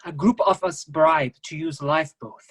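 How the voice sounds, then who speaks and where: A man's voice: a little high, moderate pace, slightly rough. A man playing a desperate foreign fugitive masseur in a staged play reading, pleading in clipped broken English. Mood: urgent.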